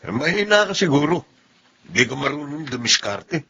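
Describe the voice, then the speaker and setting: A man's voice: on the high side, sing-song, normal speed, gravelly, pushed and bright, muffled recording, heavily acted. A man playing a cocky, ruthless and ambitious employee in a Tagalog drama. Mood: cocky, scheming. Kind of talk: Tagalog radio-style drama acting.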